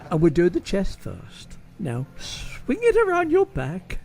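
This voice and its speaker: A woman's voice: fairly low pitch, huge pitch swings, a little slow, gravelly, a little mellow, full character voice. A woman playing a camp, fussy, flirty menswear salesman with an arch, teasing delivery in an amateur radio comedy.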